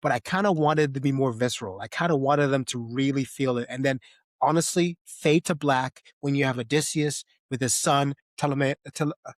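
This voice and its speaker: A man's voice: mid-range voice, fairly quick, no echo, studio quality. A man co-hosting a podcast, chatting casually and playfully about film in an informal way. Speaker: podcast host.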